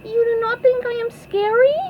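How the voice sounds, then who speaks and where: A woman voicing an excitable young male cartoon sea sponge with a squeaky, high-pitched voice. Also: normal speed. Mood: timid, uncertain. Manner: excitable, exclaiming. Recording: dull lo-fi, faint background noise.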